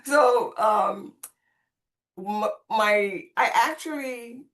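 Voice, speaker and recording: A woman's voice: fairly high pitch, very slow, a bit bright. A woman from the Caribbean, raised speaking Spanish, telling her life story conversationally and expressively as a podcast guest. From Caribbean, born on Saint Croix, raised in Puerto Rico.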